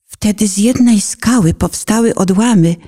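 A woman narrator reciting a poetic prayer in a solemn, reverent and dramatic manner, at times dropping into hushed, breathy phrases. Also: mid-range voice, moderate pace.

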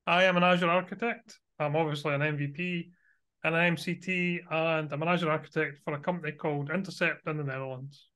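A man from Scotland with a Scottish accent, chatting casually as an interview guest, relaxed and quick to chuckle. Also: fairly high pitch, smooth.

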